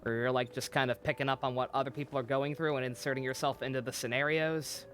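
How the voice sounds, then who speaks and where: A man's voice: medium pitch, steady tone, fast talker, fairly bright tone, faint music behind. A man doing casual live game commentary, rambling and swearing as he thinks aloud. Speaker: video game streamer.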